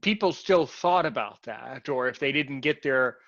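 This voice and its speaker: A man's voice: mid-range voice, animated, moderate pace, fairly bright tone, no room sound. A man hosting a casual conversation, who rambles and restarts his sentences a lot. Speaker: host. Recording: muffled, lo-fi, dry sound.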